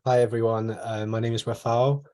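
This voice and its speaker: A man in his twenties from Poland, a medical student mentoring on a video call panel, speaking in a measured way with frequent ums and you-knows. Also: mid-range voice, flat delivery, warm tone. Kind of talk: online panel talk.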